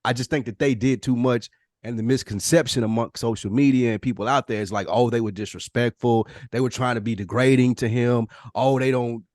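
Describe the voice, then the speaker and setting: A man's voice: medium pitch, fairly even intonation, fairly quick, few pauses, a little mellow, dry recording, clean studio sound. A man giving opinions as a podcast panelist, emphatic and slangy with some cursing, repeating phrases to drive them home. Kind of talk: opinionated casual discussion.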